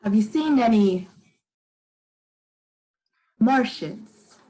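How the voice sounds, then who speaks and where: A woman's voice: on the high side, animated, drawn-out words, mellow, low-quality recording. A young woman playing a lost, despondent wanderer in an amateur radio play, speaking in a hesitant, subdued, questioning way. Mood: uneasy. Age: young adult. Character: lost, despondent wanderer after an alien invasion. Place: living room. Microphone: headset on video call.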